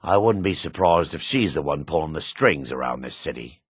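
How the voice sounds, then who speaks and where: An actor playing a shifty, greedy dark elf merchant and foreman in a fantasy video game, brusque and sly, with a raspy voice. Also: very deep voice, expressive, moderate pace, no room sound, clean studio sound, heavily acted. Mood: smug, confiding.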